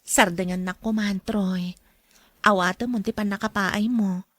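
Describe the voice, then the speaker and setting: An actress playing a devoted, calm young wife in an audio drama, with an Ilocano Filipino accent. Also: female voice, mid-range voice, a little slow, no room sound. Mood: firm.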